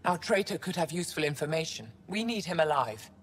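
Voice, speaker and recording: A woman's voice: deep voice, big pitch swings, fairly quick, breathy edge, big-room sound, heavily acted. An actress playing a dignified older female alien scholar in a video game, speaking formally and with authority. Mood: indignant, commanding. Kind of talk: dramatic video game acting.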